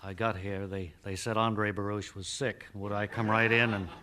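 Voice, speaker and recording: An actor playing a modest, washed-up old-time announcer in a radio comedy interview, halting, rambling and wistful. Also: male voice, lowish voice, little pitch movement, bright, forceful tone, voice pushed down. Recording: noisy, clicky old radio recording.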